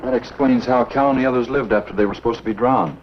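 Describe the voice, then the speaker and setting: A man acting an earnest, duty-bound American lawman in a 1950s B-movie, speaking in a measured, matter-of-fact, husky voice. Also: mid-range voice, slight background noise, natural voice. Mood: earnest, reasoning. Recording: dull, muffled 1950s film soundtrack.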